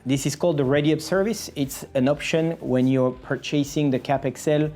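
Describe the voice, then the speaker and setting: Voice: medium pitch, fairly even intonation, moderate pace, mellow. A company presenter explaining a product step by step in a video explainer style. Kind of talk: scripted-sounding product explainer.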